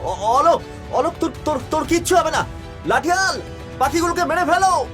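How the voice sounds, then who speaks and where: Cartoon landlord voiced by a man: high male voice, very variable, urgent, halting authority, music underneath. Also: lively intonation. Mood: agitated, commanding. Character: agitated male village landlord, commanding yet reassuring. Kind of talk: dramatized animated village story. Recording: music behind the voice.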